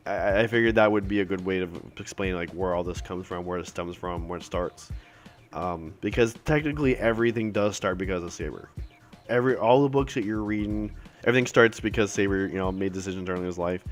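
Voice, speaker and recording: A man recording a casual update video, a little raspy from a cold, rambling with many fillers and restarts, apologetic and appreciative. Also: low-pitched, brisk, soft background music. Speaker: self-published author giving updates.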